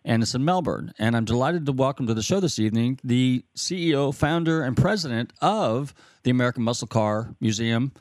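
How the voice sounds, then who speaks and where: A man's voice: medium pitch, expressive, brisk, hardly any pauses, a little mellow, dry recording. A man hosting a radio talk show, from California, with an upbeat announcer delivery and some fillers.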